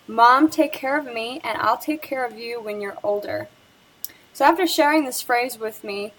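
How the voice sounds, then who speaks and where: A woman's voice: on the high side, rough recording. A young woman presenting a school project interview, reading a prepared script about the person she talked with. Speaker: student presenter.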